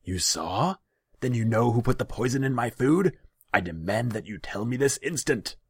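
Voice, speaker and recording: A haughty fantasy khan, acted by a male narrator, imperious and quick to anger. He speaks in a raised, forceful pitch with some gravel in it. Every line is a pompous demand. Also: big pitch swings, exaggerated voice. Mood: furious, demanding.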